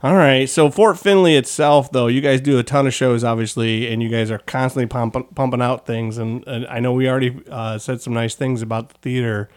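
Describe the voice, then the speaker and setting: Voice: medium pitch, fairly even intonation, a little fast, hardly any pauses, a little mellow. Curious, playfully clueless interviewer chatting loosely. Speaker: interviewer, show host. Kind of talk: casual rambling chat interview.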